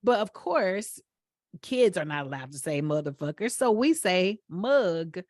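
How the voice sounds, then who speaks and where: A woman's voice: on the low side, big pitch swings, a little slow, darkish tone, no room sound, clean studio sound. A woman from Atlanta with a Southern accent, co-hosting a podcast in casual chat, playful and laughing as she explains with examples. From Atlanta, USA. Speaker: podcast co-host.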